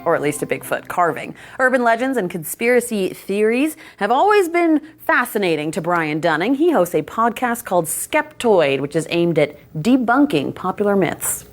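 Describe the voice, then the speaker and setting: A woman's voice: mid-range voice, big pitch swings, normal speed, hardly any pauses, faint music behind. An American woman presenting a light local news story in a broadcast style.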